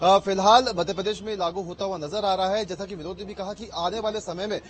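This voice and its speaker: A male correspondent giving unscripted live news analysis, halting often with hesitations. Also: high-pitched, steady tone. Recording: live link with faint background noise.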